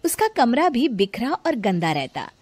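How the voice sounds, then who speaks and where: A woman's voice: a little high, big pitch swings, unhurried, darkish tone, no room sound. A woman narrating a scripted cartoon story in a plain storytelling manner.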